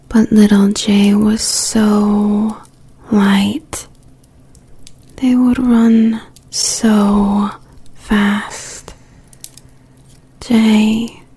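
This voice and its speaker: A woman playing a tender, grieving mother in an audio drama, with a British accent. She speaks in a very breathy, dark hush, extremely slowly and with little melody, halting between phrases. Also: medium pitch, heavily acted. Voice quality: breathy, whispery. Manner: hushed, halting. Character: tender, mournful mother grieving her small son.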